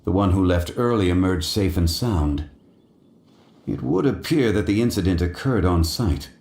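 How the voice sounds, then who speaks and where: A man voicing a calm, analytical, scholarly gentleman in a video game, speaking formally and deliberately. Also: low-pitched, echoey room.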